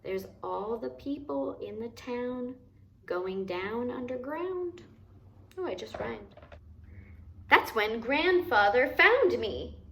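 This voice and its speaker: A woman reads a children's picture book in a fairly high voice at an unhurried pace. She is expressive and deliberate, pausing for simple asides. Some noise sits behind her. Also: creaky, reverberant. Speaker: storyteller reading a picture book aloud. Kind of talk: children's read-aloud with asides. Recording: noticeable background noise.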